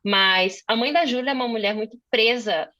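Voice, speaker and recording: A woman's voice: on the high side, normal speed, sharp tone. A young woman from Brazil moderating a conversation on a video call, thinking aloud with many fillers and restarts. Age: young adult.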